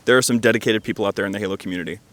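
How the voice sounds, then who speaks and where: A man's voice: on the low side, rapid-fire, a bit bright, dry recording. A man giving casual, joking commentary over gameplay, rambling and slangy with a self-deprecating manner. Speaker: video game reviewer and host.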